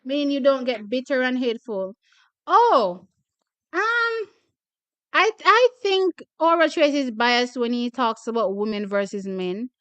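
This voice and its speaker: A woman's voice: sits high, animated, measured pace, a bit bright, dry recording, highs cut off. A young woman from Jamaica hosting a track and field channel, chatting casually with viewers, laughing often and repeating herself. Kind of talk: casual chat reading viewer comments.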